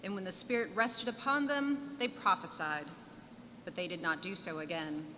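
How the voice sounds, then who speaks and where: A woman's voice: on the low side, normal speed, bright, forceful tone. A woman narrating a scripture reading expressively in church. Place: large church indoors. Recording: reverberant church, audible noise.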